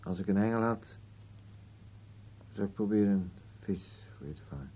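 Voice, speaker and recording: An actor playing a gloomy, contrary elderly husband in a radio play, speaking in terse, musing contradictions. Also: male voice, fairly low pitch, animated, normal speed, dark tone, slightly rough. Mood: dry, musing. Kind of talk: Dutch-language radio play acting.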